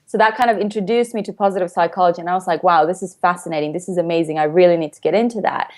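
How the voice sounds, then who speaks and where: A woman's voice: on the low side, fairly even intonation, rapid-fire, a little mellow. A woman from Finland with an international upbringing, explaining things casually and chattily as an interview guest and coach.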